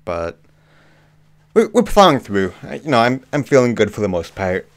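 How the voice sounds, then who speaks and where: A man hosting a live movie chat, slightly hoarse with a cough, talking casually with lots of ums. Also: medium pitch, moderate pace. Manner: relaxed, frequent ums and restarts. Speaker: livestream host. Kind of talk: casual live chat reading viewer comments.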